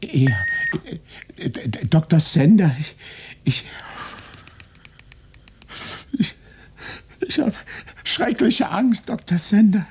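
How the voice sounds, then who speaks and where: A man's voice: high-pitched, very animated, slow, pauses often, darkish tone, a little hiss or hum, exaggerated voice. An actor in a German radio play, playing a terrified, neurotic man who stammers when he is afraid. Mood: panicked. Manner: stammering, nervous. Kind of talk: 1990s radio play acting.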